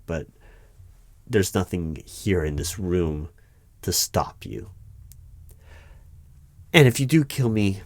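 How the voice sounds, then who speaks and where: Secret-bureau hiring manager played by a man: deep, dry close sound, formal and unflappable, measured ASMR roleplay delivery. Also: no room sound. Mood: calm, cautioning. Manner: measured, formal, wordy, hesitant pauses. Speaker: roleplay performer. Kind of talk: one-sided ASMR audio roleplay interview.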